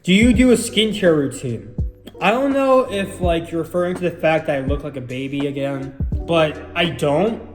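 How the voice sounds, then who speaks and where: A man's voice: a little high, lively intonation, moderate pace. A young man in his late teens, rambling casually through viewer questions with lots of fillers and self-deprecating asides. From United States. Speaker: YouTuber answering viewer questions. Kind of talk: casual Q&A chat. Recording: roomy room with background music.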